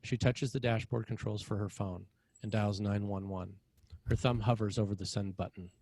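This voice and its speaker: A man's voice: on the low side, fairly even intonation, normal speed, a little mellow, dry recording. A man reading scripted stage directions as the narrator of a screenplay.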